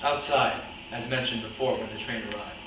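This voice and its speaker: A man's voice: mid-range voice, moderate pace, close to an everyday voice. A man playing an unrepentant former Nazi commandant under questioning in a staged reading, formal and matter-of-fact. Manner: formal, clipped, bureaucratic. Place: indoor room at a live staged reading, distant mic. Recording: very echoey, noisy room, distant mic.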